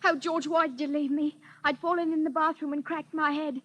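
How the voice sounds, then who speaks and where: An actress playing a plaintive, abandoned wife, melodramatic in 1940s radio drama style. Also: female voice, high voice, fairly even intonation, fairly quick, fairly bright tone, highs cut off. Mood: distressed, reproachful.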